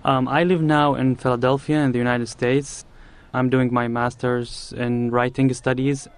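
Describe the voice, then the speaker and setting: A man's voice: medium pitch, flat delivery, normal speed, clean tone. Hesitant man in his twenties. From Iraq. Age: 20s. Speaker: graduate student.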